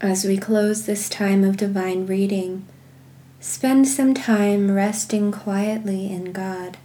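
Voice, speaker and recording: A woman leading guided contemplative prayer and reading scripture in a measured, meditative manner with pauses. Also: mid-range voice, little pitch movement, warm tone. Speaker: guided prayer podcast host.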